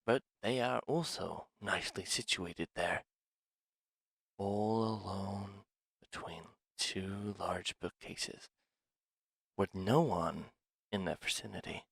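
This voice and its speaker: A man playing a sly, scheming dragon in an ASMR roleplay, speaking in a hushed, deliberate, confiding manner. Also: deep voice, creaky, breathy edge, no echo, heavily acted. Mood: conspiratorial. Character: sly, scheming male dragon plotting a museum heist. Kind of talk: ASMR roleplay audio drama, one side of a dialogue.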